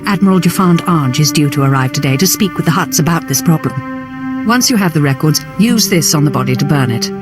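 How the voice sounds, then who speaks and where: Brief: male actor as military intelligence agent, formal, dutiful, deferential, very loud score behind. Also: fairly high pitch, lively intonation, fairly quick, loud music behind the voice, natural voice. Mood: insistent. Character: businesslike Imperial agent, deferential to superiors.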